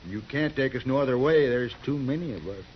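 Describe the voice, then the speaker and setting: An American actor playing a defiant, taunting mob ringleader in a 1950s radio Western, with folksy, cocky talk. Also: male voice, medium pitch, animated, a little fast, natural voice. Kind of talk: 1950s radio drama acting. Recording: dull, faintly noisy vintage radio recording.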